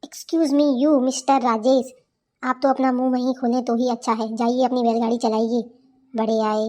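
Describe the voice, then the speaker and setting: A woman's voice: a little high, level tone, normal speed, darkish tone, close to an everyday voice. A woman playing a brash, lazy young city bride in a cartoon, scornful, bossy and full of complaints. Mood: defiant, scornful. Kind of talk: cartoon character dialogue.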